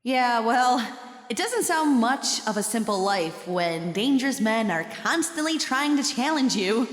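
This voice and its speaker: A woman's voice: fairly high pitch, sing-song, unhurried. A woman playing a friendly, teasing, supportive young companion in an audio drama. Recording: echoey, long hall reverb.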